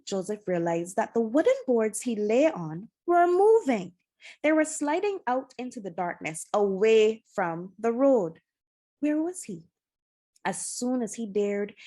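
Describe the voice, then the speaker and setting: A woman reading a children's adventure novel aloud as scripted narration, with expressive, dramatic storytelling. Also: mid-range voice. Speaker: storybook reader.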